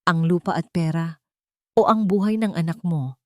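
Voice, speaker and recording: A woman's voice: fairly low pitch, normal speed, no echo, lightly acted. A woman plays a cruel Filipino husband in an audio drama. The delivery is cold and threatening, in a dry recording. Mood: menacing. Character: cold, menacing husband coercing his suffering wife.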